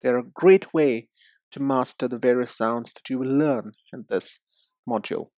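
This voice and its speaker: A man teaching English pronunciation, explaining step by step in a deliberate, didactic way and speaking directly to the learner. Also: medium pitch, a little mellow, no room sound. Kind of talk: instructional lesson with demonstrations.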